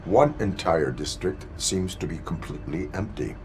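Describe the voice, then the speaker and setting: A very deep stoic robot cop. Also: male voice, normal speed, soft background music. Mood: stoic, formal. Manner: flat, formal, clipped speech. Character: stoic male cyborg police officer. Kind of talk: video game cutscene acting.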